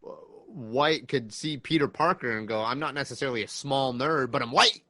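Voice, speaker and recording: Male podcast guest, thirties, mid-pitched, reflective yet enthusiastic, conversational delivery, very dry close sound. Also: normal speed, pushed and bright, no room sound. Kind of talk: casual chat.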